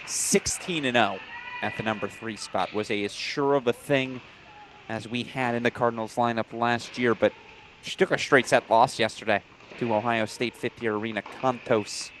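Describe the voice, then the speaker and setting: A man doing play-by-play commentary for a college tennis broadcast, brisk and informative, with brief apologetic asides. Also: medium pitch, fairly even intonation, a bit bright, a little hiss or hum, low-quality recording.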